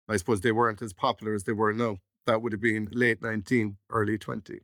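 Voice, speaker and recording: A man's voice: medium pitch, a bit bright, no room sound, studio quality. A man, a startup chief executive explaining his background conversationally as an interview guest.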